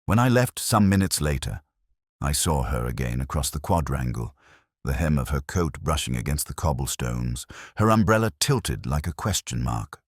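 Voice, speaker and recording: A man narrating a first-person literary mystery as a scripted audiobook, with a measured, literary delivery. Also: extremely low pitch, no room sound, studio quality.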